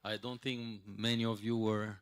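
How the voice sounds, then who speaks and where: A man in his sixties giving a conference talk as a hotel manager, pausing often with fillers and restarts. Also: on the low side, level tone, clear, resonant tone, no room sound. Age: 60s. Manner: hesitant, many fillers and restarts.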